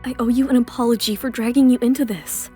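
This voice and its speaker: A woman voicing a wronged young model, outwardly sweet and quietly defiant, in an audio drama. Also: fairly high pitch, fairly even intonation, fast, close to an everyday voice. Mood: confiding. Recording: music behind the voice.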